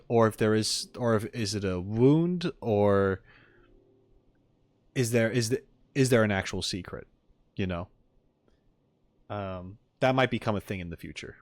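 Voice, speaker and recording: A man's voice: on the low side, no room sound. A man streaming a casual reaction, chatting in an informal, hesitant, musing way close to the microphone. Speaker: streamer reacting to game videos. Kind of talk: casual livestream reaction chat.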